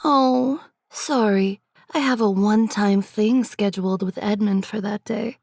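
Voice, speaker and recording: A woman playing a shameless, seductive vampire socialite, sly and amused, in a dark comedy audio drama. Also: medium pitch, fairly even intonation, measured pace, creaky, no room sound, clean studio sound.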